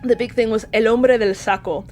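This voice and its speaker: A woman from Spain chatting casually as a podcast guest, playful, animated and jokey, happy to swear. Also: fairly high pitch, normal speed, soft background music. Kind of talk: casual chat.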